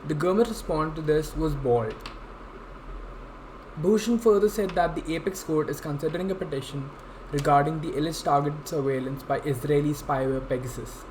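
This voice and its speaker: A young man with an Indian accent speaks in a slightly high voice over clear background noise. He presents like an intern reading out a legal explainer, formal and a little halting. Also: darkish tone. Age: young adult. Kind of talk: scripted explainer talk. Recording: clearly noisy amateur room recording.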